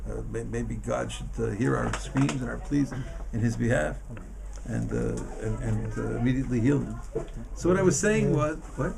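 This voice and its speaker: A man giving an informal Torah class as a rabbi, in a halting, emphatic manner that often repeats words. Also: medium pitch, creaky, crowd noise. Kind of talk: informal religious lesson.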